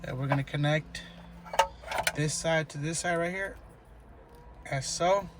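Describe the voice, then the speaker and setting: A man with a slightly high voice talks through a hands-on repair job as a DIY presenter. He goes step by step, haltingly, repeating himself as he instructs. The amateur recording has clear background noise and clicking. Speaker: DIY car tutorial presenter. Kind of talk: hands-on how-to narration, unscripted.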